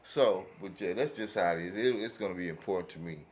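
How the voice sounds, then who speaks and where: A man's voice: low-pitched, quick pace, slight background noise. A man in a casual, opinionated group chat, speaking emphatically and often checking that listeners follow him. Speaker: podcast panelist.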